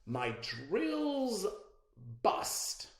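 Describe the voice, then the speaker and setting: Smug rich storybook girl, played by a man: raised pitch, haughty and mock-sweet, roomy recording. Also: huge pitch swings, extremely slow, heavily acted. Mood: bossy. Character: snobbish, smug rich girl inventor, a rival.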